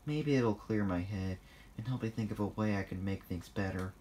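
A man's voice: on the low side, normal speed, mellow, a little hiss or hum, close to an everyday voice. A plaintive little locomotive, played by a man reading a story. He sighs and protests, sounding worried and put upon. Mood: weary. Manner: whiny, sighing, protesting. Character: plaintive, worried young male tank engine.

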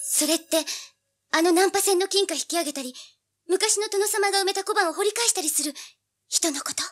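A woman's voice: very high voice, a little fast, soft background music. An actress playing a feisty, money-hungry teenage girl with a pert, blunt manner in a dramatized audiobook. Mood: pert, questioning.

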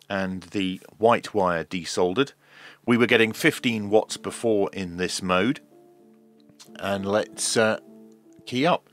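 Low-pitched man, slow and measured. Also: a bit bright. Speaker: host of a radio repair video. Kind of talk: hands-on tech demonstration commentary.

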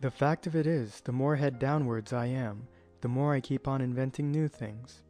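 A man's voice: mid-range voice, normal speed, mellow, faint music behind, natural voice. A man playing a breezy, eccentric, nonchalant character in a storybook audio drama. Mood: musing.

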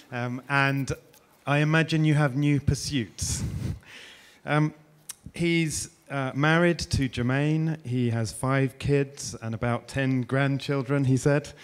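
A middle-aged man from England, chatty and self-deprecating, introducing and interviewing a guest on a conference stage with a handheld mic. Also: mid-range voice. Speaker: interviewer and host. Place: conference stage before an audience.

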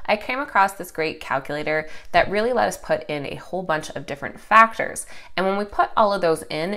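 A woman's voice: lowish voice, fairly bright tone. A young woman hosting a finance channel, talking casually to camera from a home office, a little nasal and congested from a cold. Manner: upbeat, conversational, explains step by step.

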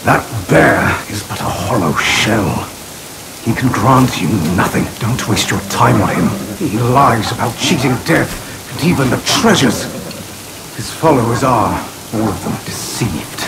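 An actor playing a wary, world-weary creature giving blunt, earnest warnings in a video game. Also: male voice, mid-range voice, lively intonation, measured pace, gravelly. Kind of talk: dramatic video game voice acting. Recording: rainstorm noise behind the voice. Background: rain and thunder.

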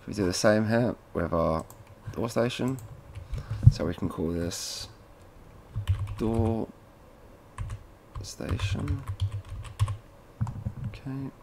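Man giving a casual software walkthrough. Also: on the low side, animated, takes it slowly, vocal fry, pauses often, noisy background. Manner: informal, addresses viewers as guys. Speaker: tutorial presenter, installer. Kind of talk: casual step-by-step software tutorial.

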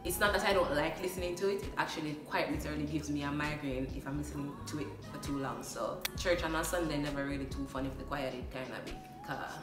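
Low-voiced Jamaican woman vlogging casually. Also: echoey room, recorded from a distance. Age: young adult. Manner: chatty, playful, laughs often, slips into patois. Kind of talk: casual get-to-know-me vlog. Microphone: phone camera at a short distance.

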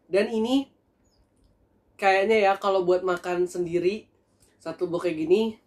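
A young man in a casual food review vlog, talking in a chatty, animated way to his viewers while seated at a table in a small room. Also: high-pitched, unhurried. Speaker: food vlogger.

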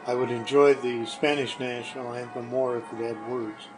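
A man gives informal answers as an interview guest, halting as he thinks. His pitch is average, and music plays clearly behind him. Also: little pitch movement, low-quality recording.

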